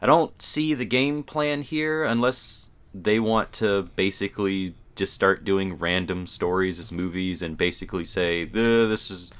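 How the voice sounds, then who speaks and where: A man's voice: sits low, fairly even intonation, unhurried, hardly any pauses, a bit bright, clean tone. A man co-hosting a podcast, giving his opinions in casual conversation. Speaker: podcast co-host.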